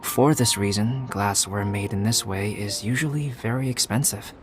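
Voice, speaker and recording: A calm young alchemist talks in a soft, measured, scholarly way, voiced by a man. He lays out his explanations patiently. A clear game score runs behind him. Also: fairly low pitch, lightly acted. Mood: explaining. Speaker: game character. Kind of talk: video game voice acting. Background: game music.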